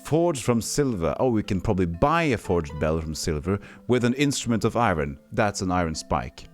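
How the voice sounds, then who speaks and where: A man's voice: lowish voice, big pitch swings, normal speed, faint music behind. A man from Texas with no regional accent, chatting casually as he streams a retro game, reading game text aloud and answering his chat. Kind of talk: casual gameplay commentary.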